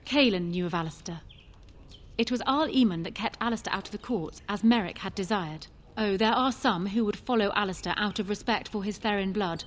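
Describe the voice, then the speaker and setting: An actress playing a proud, ambitious queen in a fantasy video game, speaking formally with calm, measured persuasion. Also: medium pitch, a little hiss or hum, close to an everyday voice. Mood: cool, critical. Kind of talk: fantasy game dialogue acting.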